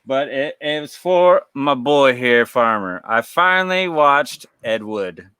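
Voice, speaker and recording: A man's voice: medium pitch, lively intonation, slow, sharp tone, smooth, no room sound. A man co-hosting a podcast in loose, rambling chat, laughing often and swearing freely. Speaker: podcast co-host. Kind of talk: casual chat.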